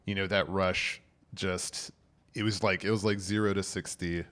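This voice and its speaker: Guy about 40, real low voice, rambly comic guest, lots of um and like, laughs a bunch. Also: level tone, normal speed, fairly bright tone. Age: 40s. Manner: rambling, laughs often, many fillers. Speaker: guest comedian. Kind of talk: casual podcast chat.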